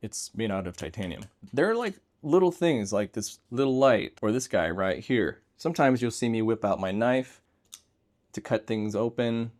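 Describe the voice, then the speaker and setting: A man's voice: mid-range voice, darkish tone. A man hosting an informal show-and-tell vlog, talking in a loose, rambling, enthusiastic way. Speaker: video host showing everyday tech gear. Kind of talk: casual chat, show and tell.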